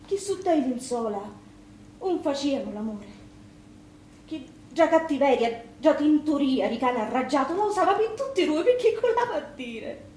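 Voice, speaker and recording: A bitter, wounded Sicilian widow played by an actress pours out her grievances in a high, impassioned voice. Her Sicilian dialect moves between murmur and outcry on a theatre stage, in a roomy sound with faint noise. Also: big pitch swings, normal speed, darkish tone. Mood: anguished, resentful. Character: bitter battered Sicilian widow, youngest of three sisters.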